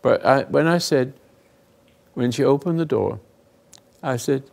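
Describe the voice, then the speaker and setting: An older man hosting a talk, giving rambling, earnest moral teaching to a live group, often dropping into hushed asides. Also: medium pitch, measured pace. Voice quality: gravelly, husky. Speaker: host and spiritual counselor.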